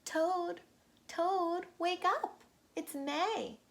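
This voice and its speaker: Eager frog friend played by a woman for a children's story: higher voice, cheerful, pleading, unhurried. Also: vocal fry, full character voice. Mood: excited.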